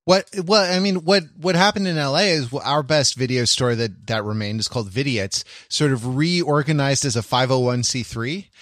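A middle-aged American man co-hosting a podcast, talking in a reflective, halting way and often interrupting himself. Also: medium pitch, a bit bright, no room sound. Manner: halting, self-interrupting, introspective. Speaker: podcast co-host. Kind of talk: reflective casual podcast discussion.

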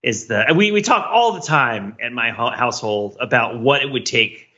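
A man's voice: medium pitch, lively intonation, moderate pace, bright, forceful tone. A man co-hosting a podcast, giving rambling, mock-serious commentary on trivial things in a casual style. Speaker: podcast co-host. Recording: slightly dull, lo-fi audio.

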